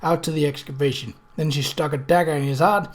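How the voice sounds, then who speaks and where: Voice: mid-range voice, fairly even intonation, quick pace, lightly acted. A reader voicing a self-important male servant who tells grisly gossip in a dramatic, folksy way for a story. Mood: dreadful.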